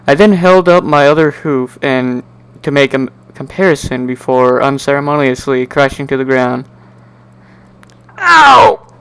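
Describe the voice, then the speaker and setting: A man giving an amateur dramatic reading of a first-person story in an animated, wry, sardonic way. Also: mid-range voice, unhurried, gravelly, smooth, rough recording. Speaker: amateur narrator.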